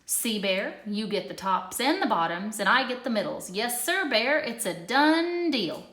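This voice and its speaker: A woman's voice: fairly high pitch, very animated, a little slow, full character voice. A woman voicing a clever, scheming male hare in a children's storybook, with an eager, persuasive salesman's manner. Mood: smug. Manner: eager, persuasive, calling out brightly. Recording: echoey room.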